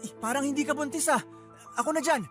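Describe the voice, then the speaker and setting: A performer playing a calm, reasoning young husband in a radio drama. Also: high-pitched, quick pace, loud music behind the voice, close to an everyday voice. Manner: soothing, reasoning, dismissive. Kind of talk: Tagalog radio drama acting.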